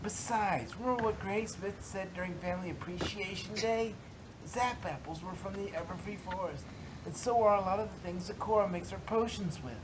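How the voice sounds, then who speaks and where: A woman's voice: brisk, close to an everyday voice. A woman playing a brash, confident young pony filly in a fan fiction reading, casual and cocky. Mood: breezy confidence. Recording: noisy home recording with rumble.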